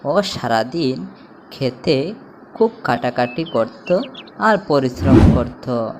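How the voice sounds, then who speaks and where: A woman's voice: very deep voice, animated, slow pace. A woman narrating a scripted children's story as a storyteller, over a noisy background. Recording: noticeable background noise, ambience.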